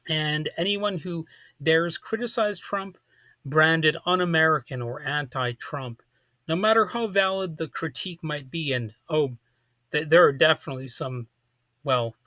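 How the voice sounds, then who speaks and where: A man delivering a partly scripted political commentary monologue, speaking emphatically with deliberate phrasing. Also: fairly high pitch, sharp tone, no room sound.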